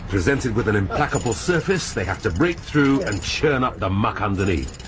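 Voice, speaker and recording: A man plays a dry, sardonic police inspector with a low voice that swings widely in pitch, now idle, now cutting. Steady noise hums behind him in this radio drama. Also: moderate pace, natural voice. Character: wry, weary older male police inspector.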